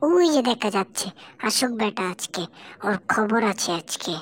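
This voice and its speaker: A woman voicing a nagging, excitable fox wife in a cartoon, given to scolding exclamations. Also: on the high side, moderate pace. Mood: angry, impatient. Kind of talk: animated cartoon dialogue. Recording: loud music behind the voice.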